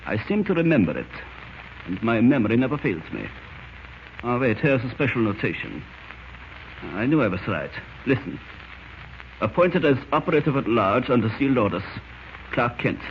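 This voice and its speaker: A cold, theatrical German spymaster, wildly animated. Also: male voice, medium pitch. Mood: smug, businesslike. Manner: formal, deliberate, menacing, theatrical. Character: cold fanatical German spymaster, cunning and grandiose. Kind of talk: 1940s radio acting. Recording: scratchy, muffled old-time radio recording.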